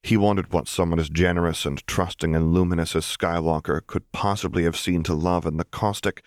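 A man reading a story aloud as an audiobook narrator, in an expressive scripted style. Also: deep voice, brisk, no echo, studio quality.

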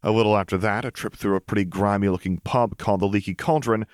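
A man's voice: lowish voice, steady tone, fast talker, no room sound, studio quality. A man reading fiction aloud as a narrator, in scripted storytelling style. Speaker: narrator, audiobook reader.

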